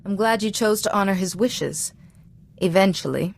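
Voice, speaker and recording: A woman playing a calm, compassionate Jedi diplomat in a video game, with a measured, formal, reasoning manner. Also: mid-range voice, vocal fry. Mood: earnest, firm. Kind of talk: video game dramatic voice acting.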